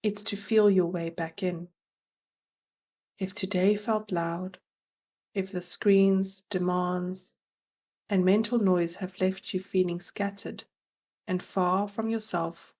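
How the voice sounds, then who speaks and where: A woman narrating a guided meditation as its guide, gentle and soothing, leaving long pauses between phrases. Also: on the low side.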